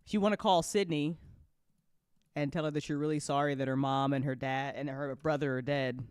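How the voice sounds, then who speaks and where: A woman's voice: deep voice, steady tone, brisk, darkish tone, clear, resonant tone, dry recording, clean studio sound. A woman hosting a live after show in casual chat, informal and repeating phrases for emphasis. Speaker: host of an audio drama after show. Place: close indoor mic on a live stream.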